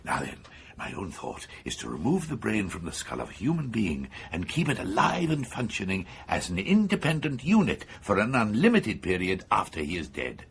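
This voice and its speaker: An actor playing an eager, persuasive neurosurgeon with a macabre obsession in a radio horror drama, speaking insistently and clinically with a British accent. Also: male voice, lowish voice, wildly swooping pitch, normal speed, vocal fry, heavily acted. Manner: insistent, enthusiastic, coolly clinical lecturing. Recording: faint background noise.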